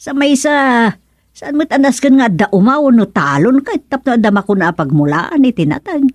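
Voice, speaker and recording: A woman's voice: on the high side, lively intonation, moderate pace, no echo. A woman playing an industrious, thrifty older village gardener in an Ilocano radio comedy drama, with an Ilocano accent. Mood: proud, homely.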